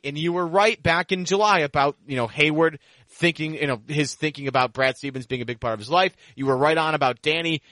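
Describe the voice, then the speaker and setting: Male sports podcast host, higher-pitched and forceful, rambling conversational delivery, close dry sound. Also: no echo. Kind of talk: casual sports podcast chat.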